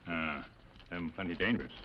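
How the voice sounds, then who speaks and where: An actor playing a stoic, loyal frontier sidekick in an old TV western, speaking tersely in broken grammar. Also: male voice, low-pitched, normal speed, natural voice. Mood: wary, grave. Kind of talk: 1950s television western acting. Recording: muffled, noisy old TV western soundtrack.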